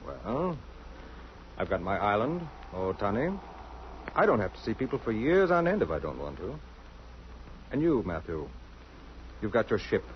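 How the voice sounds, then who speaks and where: A cultured, reclusive doctor in a vintage radio play, polite and world-weary. His low-set voice moves in wide, lilting swings. The old recording is muffled, with faint noise. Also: sing-song, moderate pace, pauses often. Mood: resigned, gentle. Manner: refined, polite, hesitant. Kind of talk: old-time radio drama acting.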